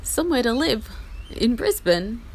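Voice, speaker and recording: An Australian woman in her forties, husky from a chest infection, rambling through a self-deprecating update from a small outdoor patio. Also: a little high, big pitch swings, unhurried, poor audio. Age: 40s. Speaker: podcast host. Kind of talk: casual personal update. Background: faint rain.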